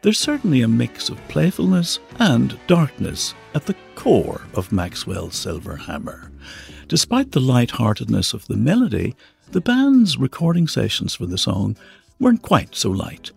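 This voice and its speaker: A man's voice: medium pitch, wildly swooping pitch, moderate pace, creaky. A man reading scripted documentary narration as the host of a music podcast.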